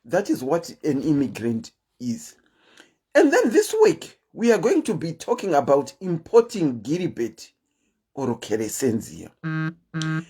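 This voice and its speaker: A woman with a Zimbabwean accent and a deep voice, hosting a casual livestream chat and telling stories in a chatty, animated way. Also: measured pace, slightly rough. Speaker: host.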